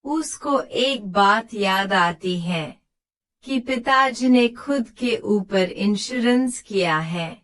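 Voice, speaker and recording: A woman's voice: medium pitch, expressive, slow, fairly bright tone. A woman giving scripted narration for a children's moral story.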